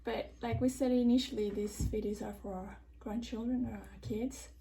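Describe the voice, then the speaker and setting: A woman's voice: a little high, steady tone, normal speed, gravelly, mellow, low-quality recording. A woman in her sixties interviewing her husband for the family, hesitant, with many ums. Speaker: interviewer, vlogger. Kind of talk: casual home interview.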